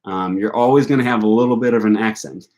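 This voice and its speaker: Young male host, northern US accent, low-ish voice, loose chatty delivery with lots of fillers and self-corrections. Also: fast talker, a little mellow. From United States. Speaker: language learning channel host. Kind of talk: casual answer to viewer questions.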